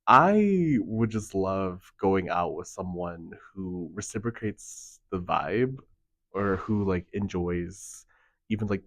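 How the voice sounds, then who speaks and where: Young man, 20, very low voice, rambling, casual, dry close sound. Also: no echo. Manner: relaxed, rambling, many fillers. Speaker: contestant. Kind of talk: casual interview answers.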